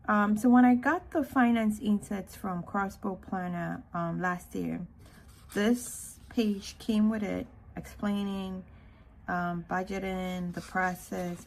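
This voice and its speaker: A woman from Ghana giving a casual walkthrough narration in an unhurried way, with hesitant pauses. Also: medium pitch, animated, gravelly, poor audio. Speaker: planner vlogger.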